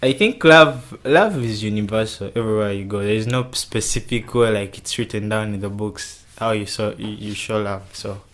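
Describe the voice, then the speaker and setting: A man's voice: lowish voice, normal speed, hardly any pauses, fairly bright tone. A man from Kenya answering interview questions casually, often repeating words as he starts.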